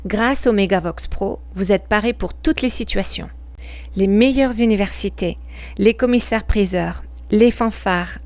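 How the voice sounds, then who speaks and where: A woman reading a scripted promotional product narration in a measured, articulate and informative way. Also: mid-range voice, darkish tone, no room sound.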